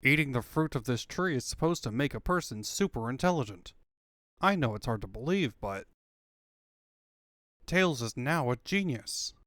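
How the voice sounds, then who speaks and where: A man's voice: mid-range voice, lively intonation, moderate pace, fairly bright tone, no room sound, studio quality, natural voice. A man voicing an earnest male inventor animal sidekick who explains things, in a comic fandub. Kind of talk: comic fandub character acting.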